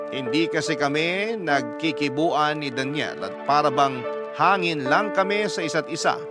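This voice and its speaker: A man reading a listener's letter as an emotional first-person story, in the style of a radio host. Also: fairly high pitch. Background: loud background music.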